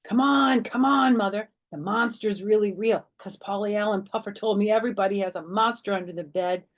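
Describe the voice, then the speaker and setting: Lady doing a bratty excitable little kid girl for storytime, high pitched, insistent, babyish grammar. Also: a little fast, lightly acted. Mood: pleading. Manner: childish grammar, emphatic, insistent. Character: excitable, stubborn little kindergarten girl narrator.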